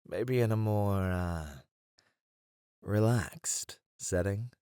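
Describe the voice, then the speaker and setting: A man's voice: lowish voice, drawn-out words, dark tone, clear, resonant tone, no echo, studio quality. A man playing a cocky, shrewd gang leader in a flirty ASMR roleplay, teasing in a playful, theatrical way. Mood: seductive, toasting.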